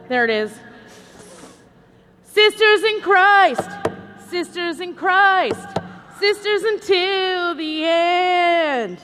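A woman's voice: very high-pitched, lively intonation, extremely slow, pauses often, a bit bright, slight background noise, heavily acted. A woman playing a brash, eager, teasing singer in a live comedy stage show. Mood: eager, showy.

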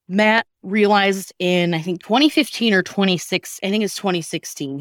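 A woman with a slightly low voice hosts in a casual, storytelling style. She talks candidly and colloquially, leaning on her key words. The sound is close and dry. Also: no room sound. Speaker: podcast host introducing comedy sketches.

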